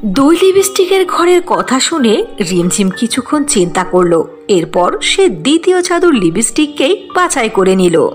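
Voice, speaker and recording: A woman narrating a scripted fairy tale. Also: on the high side.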